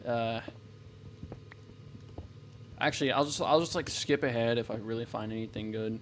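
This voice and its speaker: A man's voice: mid-range voice, normal speed, slight background noise. A man giving casual gameplay commentary, thinking aloud as he goes, often restarting and correcting himself. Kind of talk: casual let's-play commentary.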